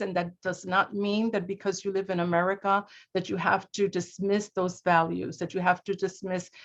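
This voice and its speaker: A woman speaking off the cuff as a community prevention worker on a video call, often repeating words as she hunts for phrasing. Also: mid-range voice. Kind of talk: unscripted webinar remarks. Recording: dull lo-fi video-call audio.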